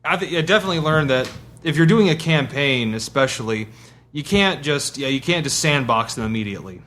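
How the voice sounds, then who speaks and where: A man co-hosting a podcast, chatting casually and haltingly, restarting his phrases. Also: mid-range voice, expressive, a bit bright. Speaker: podcast co-host. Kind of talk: casual chat.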